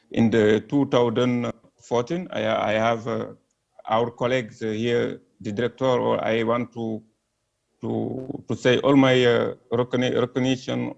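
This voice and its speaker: Senegalese man, hesitant panel talk, gravel in the voice. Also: medium pitch, a little mellow. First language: French. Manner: hesitant, many fillers and restarts; earnest, apologetic about his English. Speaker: panel speaker. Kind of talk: halting live-stream talk. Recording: dull lo-fi stream audio.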